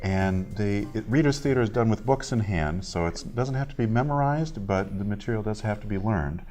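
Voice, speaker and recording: A man being interviewed as a theatre director, explaining a show in a measured way with short halting pauses. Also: lowish voice, a little mellow, faint music behind.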